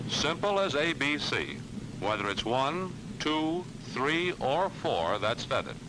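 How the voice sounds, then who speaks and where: A man's voice: on the high side, sing-song, slow pace. American man narrating over noisy old film soundtrack. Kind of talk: 1940s military training film narration, scripted.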